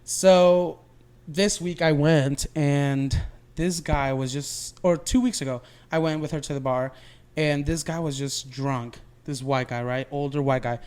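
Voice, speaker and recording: Clear-voiced man chatting in loose slang. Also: fairly high pitch, steady tone, a little slow, darkish tone. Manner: rambling, slangy, frequent "like" and "you know". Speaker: podcast co-host. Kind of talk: casual chat, storytelling.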